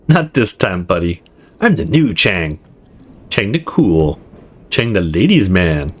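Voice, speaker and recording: A man's voice: low-pitched, big pitch swings, slow, husky, pitched below the performer's own voice, exaggerated voice. A man voicing a boastful young wannabe ladies' man in a visual novel, pompous and theatrical.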